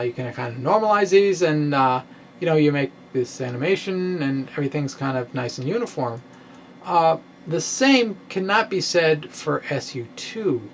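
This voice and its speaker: A middle-aged man gives an informal, theatrical science monologue in a halting, quirky storytelling manner. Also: on the high side, a little hiss or hum. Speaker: science vlogger, amateur physicist. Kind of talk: informal dramatic blog reading, explanatory monologue. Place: indoor room with bookshelves. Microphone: microphone on stand, close in front.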